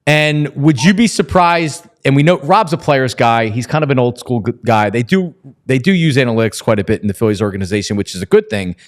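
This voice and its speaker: A man's voice: mid-range voice, animated, brisk. A man hosting a casual sports talk interview, talking in wordy, rambling questions with false starts. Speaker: sports show host.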